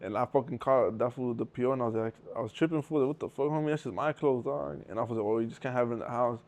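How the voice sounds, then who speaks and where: A man's voice: mid-range voice, racing, darkish tone. A young man from Southern California telling stories in a rambling, profane way full of street slang. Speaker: interview guest. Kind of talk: casual storytelling with heavy slang.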